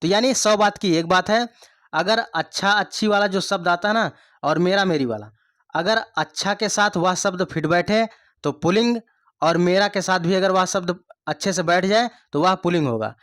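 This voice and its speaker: A man with a fairly high voice leads an exam coaching lesson. He goes over each point again and again and quizzes his listeners with rhetorical questions. The sound is dry and close, with no room echo. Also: moderate pace, fairly bright tone. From India. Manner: repeats points, asks the class rhetorical questions. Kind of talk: online Hindi grammar lesson.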